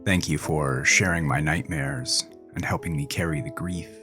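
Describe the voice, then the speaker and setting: A man's voice: rumbling low, moderate pace, sharp tone. A man reading a scripted sign-off as the host of a horror fiction podcast. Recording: music behind the voice.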